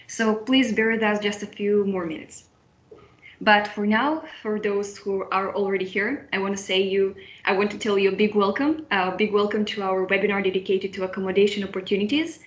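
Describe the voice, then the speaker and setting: A woman's voice: mid-range voice, fairly even intonation, brisk, reverberant. Young woman, webinar moderator, video call, dull lo-fi audio. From Moldova. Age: young adult. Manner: friendly, with frequent restarts and repetitions. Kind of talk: online webinar hosting.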